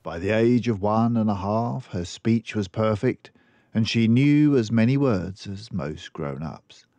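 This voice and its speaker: Older man reading a storybook, animated low voice. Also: measured pace, dry recording. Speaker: storybook reader. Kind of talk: expressive children's book narration.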